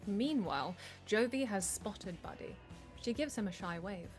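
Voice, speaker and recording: A woman's voice: mid-range voice, expressive, normal speed, darkish tone, faint music behind. A woman reading scripted stage directions as narrator at a comedic live table read.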